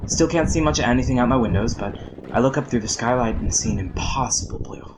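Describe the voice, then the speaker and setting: An actor playing a wistful, nerdy storm chaser who survived the apocalypse, rambling reflectively in a radio drama monologue. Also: male voice, fast, close to an everyday voice. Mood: awestruck. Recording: noisy radio transmission, vehicle rumble.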